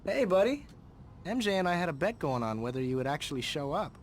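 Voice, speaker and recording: A man's voice: a little high, big pitch swings, fairly quick, slight background noise. An actor playing a chummy young man with a grudge, in a video game. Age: young adult. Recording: loud music underneath.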